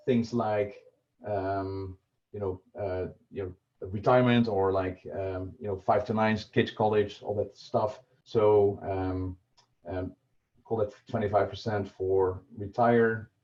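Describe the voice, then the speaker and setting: A man's voice: mid-range voice, darkish tone. Dutch-accented man, muffled video call. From Netherlands. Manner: halting, many fillers and restarts. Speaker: personal finance presenter. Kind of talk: casual livestreamed budgeting talk.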